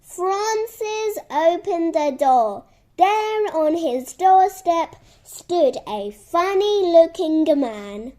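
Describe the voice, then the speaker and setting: A woman reading a scripted children's story as narrator, with deliberate, expressive delivery. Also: very high-pitched.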